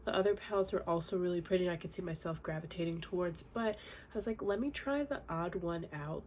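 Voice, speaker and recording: A woman's voice: on the low side, a little hiss or hum. A young woman with a congested, nasal voice from a cold, chatting casually in a makeup tutorial at home. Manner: chatty, relaxed, many fillers. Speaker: beauty vlogger. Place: home, indoors.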